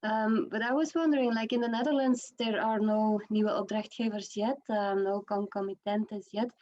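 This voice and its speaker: A woman's voice: medium pitch, fairly even intonation, normal speed, darkish tone. A woman from Belgium asking a conversational question as an audience member, tentative and thinking aloud. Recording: dull, lo-fi microphone sound.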